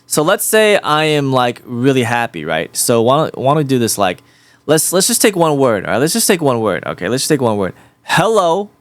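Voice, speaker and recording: A man hosting a casual chat with an AI assistant, restarting his sentences and circling back to the same point. His voice sits at an ordinary middle pitch, and the sound is dry and close with no room around it. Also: big pitch swings, fairly quick, dry recording. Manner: rambling, repeats himself, rephrases often. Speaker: host.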